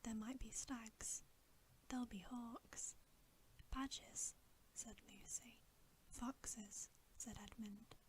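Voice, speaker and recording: A woman reading a bedtime story aloud in a breathy, unvoiced ASMR whisper, gentle and soothing. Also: on the high side, fairly even intonation, slow pace. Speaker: ASMR reader.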